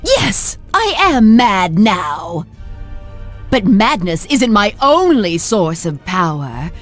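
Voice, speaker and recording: Mad sorceress, gloating, theatrical, unhurried, wildly expressive, video game villain. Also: female voice, medium pitch, soft background music. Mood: exultant, deranged. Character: mad, gloating sorceress tyrant who thinks herself a goddess.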